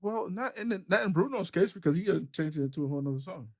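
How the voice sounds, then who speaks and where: Man, musician and show host; relaxed, quick to laugh, conversational. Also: high voice. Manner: easygoing, laughs often, asks short questions. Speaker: podcast host and keyboard player. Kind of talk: casual interview chat.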